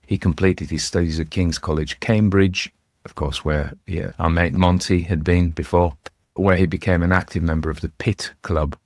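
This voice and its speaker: A man's voice: low voice, steady tone, moderate pace, a little mellow, no echo, clean studio sound. A man hosting a story show, talking casually about literature with rambling, chatty asides. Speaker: host and narrator of a ghost story show.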